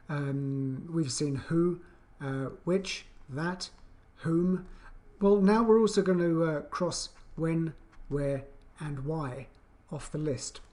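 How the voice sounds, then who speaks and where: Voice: medium pitch, darkish tone. An English teacher giving an explanatory video lesson with an emphatic, questioning, teacherly delivery.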